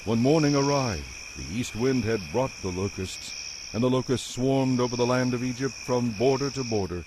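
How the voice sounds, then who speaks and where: A man's voice: medium pitch, moderate pace, noisy background, close to an everyday voice. A man narrating a dramatized Bible story as a solemn storyteller.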